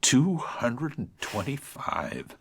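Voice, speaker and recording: An actor playing an aging small-town reverend, reflective and self-doubting, speaking pensively with wry hesitations in a literary radio drama. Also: male voice, medium pitch, wildly swooping pitch, slow, a bit bright, husky, full character voice. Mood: tender, musing.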